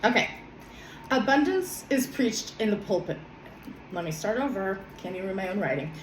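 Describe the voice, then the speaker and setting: A woman, a little hoarse from a cold, talking gently with many pauses and self-corrections, then reading her own journal. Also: medium pitch, expressive, roomy sound, a little hiss or hum, rough recording. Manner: soft, hesitant, many ums and self-corrections. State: slightly hoarse from a summer cold. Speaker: vlogger.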